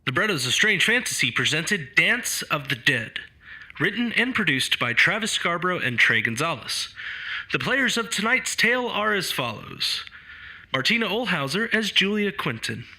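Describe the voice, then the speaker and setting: A man's voice: high voice, sing-song, moderate pace, bright, forceful tone, poor audio. A man reading scripted show credits as an announcer.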